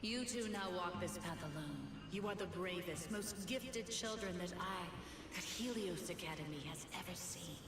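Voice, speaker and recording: A woman's voice: lowish voice, moderate pace, noisy background, close to an everyday voice. Game drama: grave, devoted female teacher played by an actress, echoey, determined. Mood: solemn. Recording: echoing voice with music behind.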